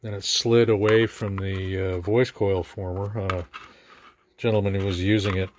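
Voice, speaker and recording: A man giving a casual, hands-on repair demonstration with halting, unhurried explanations. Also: sits low, level tone, noisy background. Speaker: speaker repair technician. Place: small repair workshop, indoors.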